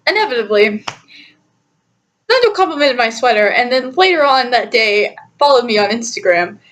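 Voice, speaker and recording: A young adult woman telling a personal story in a casual, chatty, animated way, giggly and often interrupting herself. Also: on the high side, moderate pace, slight background noise. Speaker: storytime vlogger.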